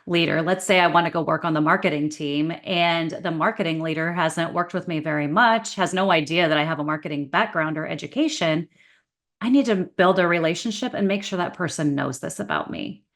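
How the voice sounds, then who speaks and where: A woman's voice: fairly low pitch, a little fast, a bit bright. A woman author and career coach talking as a guest in an interview, giving direct, motivational advice with confidence. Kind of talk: casual interview chat.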